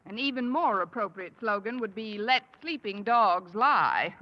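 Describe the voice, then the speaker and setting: An actress playing a wisecracking high school teacher in a 1950s radio sitcom, with dry, sardonic delivery. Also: female voice, expressive, a little slow, close to an everyday voice. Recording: old radio broadcast, dull and noisy.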